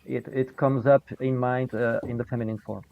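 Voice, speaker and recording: A man's voice: medium pitch, little pitch movement, moderate pace, vocal fry. Man, muffled call audio. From Belgium. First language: French. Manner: hesitant, searches for English words. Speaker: guest. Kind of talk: casual chat on a video call.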